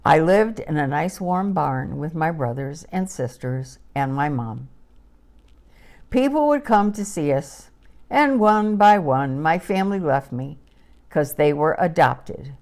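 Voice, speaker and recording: A gentle little girl puppy voiced by a woman tells a children's story in a lowish voice. She takes her time and lets the pitch rise and fall expressively, with a wistful, simple innocence. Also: animated, slow pace, full character voice. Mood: wistful, lonely. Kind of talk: children's audio story narration.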